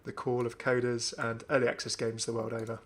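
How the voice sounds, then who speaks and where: A man's voice: mid-range voice, monotone, a little fast, a bit bright. A young man giving casual, enthusiastic commentary on a video game. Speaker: gaming video host.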